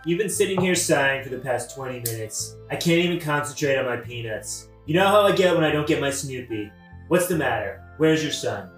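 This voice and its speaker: A man's voice: medium pitch, expressive, fairly quick, fairly bright tone, close to an everyday voice. An actor playing a boastful, peevish king with a pompous, superlative-heavy way of talking in a satirical audio play. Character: boastful, self-congratulating, peevish modern-slang king. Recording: roomy, distant mic, music behind.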